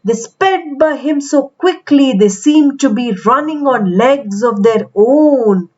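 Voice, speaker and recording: A woman reading a picture book aloud to young children in an expressive, deliberate storytelling manner. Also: high-pitched, muffled recording.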